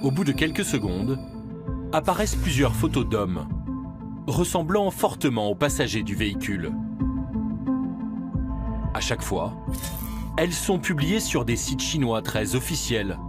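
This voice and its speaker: A male documentary narrator with a French accent from France, speaking at a middle pitch with lively intonation. His scripted delivery is measured and serious, with a dramatic edge, and music plays clearly behind him. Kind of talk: scripted investigative voice-over narration. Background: background music.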